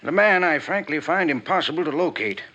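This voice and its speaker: A man's voice: fairly high pitch, expressive, brisk, bright, forceful tone, highs cut off. An actor playing a world-weary private detective in his sixties, resigned and hesitant, in 1950s radio drama style. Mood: earnest, troubled. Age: 60s. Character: weary, aging small-time private detective, resigned and grateful.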